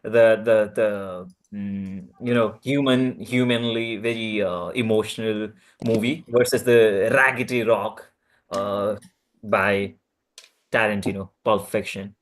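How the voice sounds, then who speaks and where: A man chatting casually about films in a group, halting and often repeating his words. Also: fairly low pitch, fairly even intonation. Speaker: table read participant.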